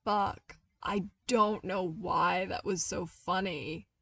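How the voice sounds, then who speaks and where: A woman's voice: on the low side, fairly even intonation, takes it slowly, vocal fry, fairly bright tone, breathy edge, no room sound, exaggerated voice. Lady doing a wry young guy in a story, blunt n grumbly but playful, bit far from mic. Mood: breathless amusement.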